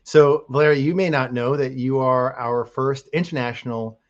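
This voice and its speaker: A man's voice: mid-range voice, steady tone, normal speed. A man hosting an interview podcast, welcoming a guest in a friendly, loose way. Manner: friendly, loose, with fillers and restarts. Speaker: podcast host. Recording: dull lo-fi audio.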